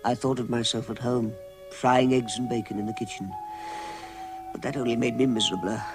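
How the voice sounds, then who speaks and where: An actor playing a timid, fussy hobbit in a radio drama, with a hesitant, fretful way of talking. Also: male voice, medium pitch, fairly even intonation, fairly quick, over music, natural voice, low-quality recording. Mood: earnest, recounting. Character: timid, fussy, flustered hobbit recounting his adventure. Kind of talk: radio drama acting and first-person narration.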